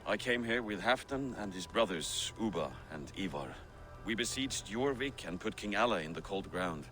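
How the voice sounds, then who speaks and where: A scheming veteran Viking. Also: male voice, on the low side, animated, normal speed, a bit bright. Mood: nostalgic. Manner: conspiratorial, boastful. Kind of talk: video game cutscene acting.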